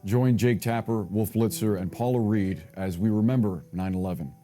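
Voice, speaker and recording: Male US news anchor, lowish and very warm tone, scripted delivery, faint music bed. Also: normal speed. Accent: American. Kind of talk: scripted broadcast news presenting and interviewing.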